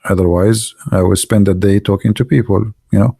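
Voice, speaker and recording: Gravelly-voiced man, mellow and casual. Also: lowish voice, a little fast, dry recording. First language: Arabic. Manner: animated storyteller, non-native English grammar. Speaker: livestream host. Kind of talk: casual anecdotes and chat with live viewers.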